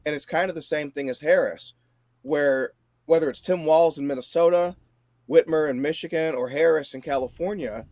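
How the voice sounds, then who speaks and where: Higher-voiced man around forty talking politics. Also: a little mellow, no room sound. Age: 40s. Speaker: talk show guest and political commentator. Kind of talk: casual political chat.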